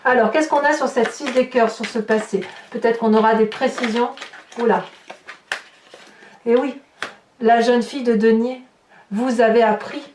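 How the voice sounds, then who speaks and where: High-voiced woman, echoey and distant. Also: fairly even intonation, normal speed, pauses often, a little mellow, a little hiss or hum. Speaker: tarot reader hosting a horoscope video. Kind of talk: improvised tarot reading addressed to viewers. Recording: roomy echo, distant microphone.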